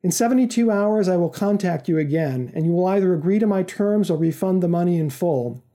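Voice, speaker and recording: Story villain's agent, read by a man: stern, formal, precise, high male voice, very soft-toned. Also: expressive, fairly quick. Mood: businesslike. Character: commanding, secretive male intermediary making a job offer. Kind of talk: audiobook-like story reading.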